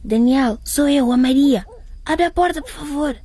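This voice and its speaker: A woman's voice: high-pitched, moderate pace, dark tone, lightly acted. An actress playing an anxious pregnant schoolgirl in a radio drama, earnest and pleading.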